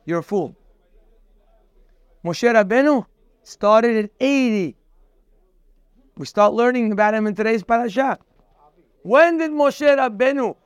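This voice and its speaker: A man's voice: very high-pitched, a bit bright, dry recording. A man teaching a daily Torah class, preaching emphatically and speaking straight to the listener. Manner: emphatic, preachy, quotes Hebrew sources then translates; addresses listener directly, repeating "you".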